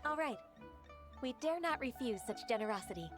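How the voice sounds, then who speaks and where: A woman playing a gentle, modest secretary and mentor in a video game, courteous, reassuring and slightly formal. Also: fairly high pitch, lively intonation, moderate pace, music in the background, lightly acted. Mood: gracious. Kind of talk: dubbed game dialogue acting.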